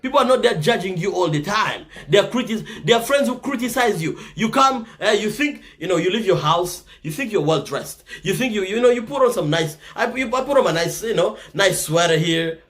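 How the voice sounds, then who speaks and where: Brief: Congolese-accented man, high and animated voice, earnest advice vlogger. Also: brisk, few pauses. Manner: earnest, often ends points with "right?". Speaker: vlogger giving life advice. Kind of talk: casual advice monologue.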